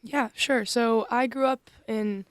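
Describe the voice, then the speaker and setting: A woman's voice: a little high, fairly even intonation, slow pace, no room sound, clean studio sound. A young woman from Northern California, a student club member, speaking earnestly in a discussion with frequent restarts. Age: young adult. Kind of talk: conversational discussion.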